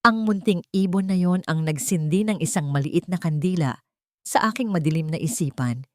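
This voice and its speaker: A woman's voice: fairly low pitch, moderate pace, a little mellow, no echo, studio quality. A woman with a Filipino accent reading scripted audiobook narration as a storyteller.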